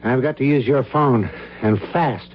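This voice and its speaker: An actor playing a courteous, probing investigator in a radio drama, with a tactful, matter-of-fact manner. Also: male voice, mid-range voice, unhurried, loud music behind the voice, close to an everyday voice. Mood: urgent. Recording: dull, noisy old radio broadcast.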